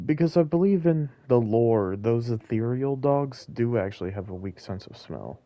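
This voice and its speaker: A man's voice: mid-range voice, expressive, normal speed, dry recording, dull audio. A man giving casual live commentary as he streams a game.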